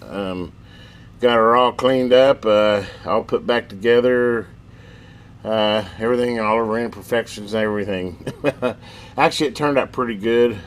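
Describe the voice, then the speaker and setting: A man's voice: fairly low pitch, normal speed. A man hosting a casual hands-on video demo, explaining in a folksy, easygoing, rambling way inside a metal building. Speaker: host of a radio repair channel. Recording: noisy room, steady background hum.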